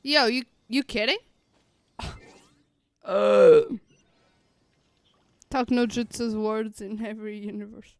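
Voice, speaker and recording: A woman's voice: fairly high pitch, slow, pauses often, no room sound. A woman game streamer giving casual, playful live commentary with somewhat hesitant phrasing.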